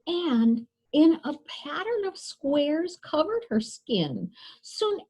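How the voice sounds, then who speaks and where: A woman hosting a storytime, reading a picture book aloud to young children in an expressive, deliberate way and addressing them directly. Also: high voice, low-quality recording. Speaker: children's librarian, storytime host.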